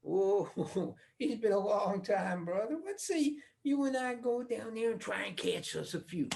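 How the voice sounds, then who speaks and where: A man acting out a gentle country coal miner in a stage play. His Appalachian voice is full of gravelly creak. He speaks in a folksy, hesitant, coaxing way, protective of his kin. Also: high voice, expressive, measured pace, slight background noise, full character voice.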